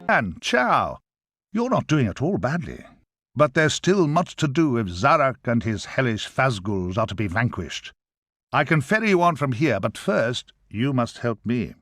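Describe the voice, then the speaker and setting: A man's voice: mid-range voice, vocal fry, a bit bright, dry recording, studio quality, heavily acted. An actor playing a chatty, hapless ferryman to the dead in a video game, with a wry, rambling, grumbling manner. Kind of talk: video game cutscene acting.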